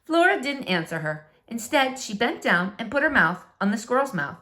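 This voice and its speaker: A woman narrating a children's storybook aloud with expressive, dramatic, deliberate phrasing. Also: fairly high pitch. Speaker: storybook reader.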